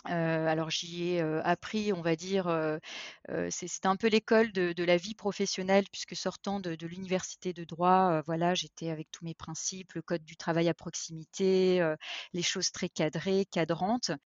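A woman's voice: lowish voice, fairly even intonation, moderate pace, no room sound, highs cut off. A woman in her forties from eastern France, a podcast guest telling her career story with many fillers and restarts. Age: 40s. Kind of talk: interview conversation.

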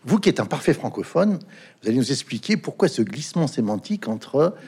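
Husky-voiced male interviewer. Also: medium pitch, big pitch swings, fairly quick, darkish tone. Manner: formal, hesitant with fillers.

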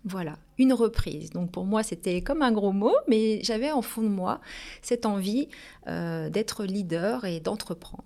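A woman, telling her career story as a guest in a lively way, with frequent hesitations. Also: mid-range voice, a little slow, creaky. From Paris region, France. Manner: lively, hesitant with many fillers. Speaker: company director, guest. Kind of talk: casual interview storytelling.

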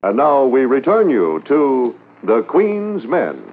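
Male 1950s radio announcer, animated and husky, emphatic drawn-out phrasing, thin transcription sound. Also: mid-range voice, phone-quality sound. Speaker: programme announcer.

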